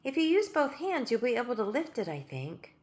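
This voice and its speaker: A woman voicing a bossy, prim little girl who scolds in a high voice. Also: very animated, a little fast.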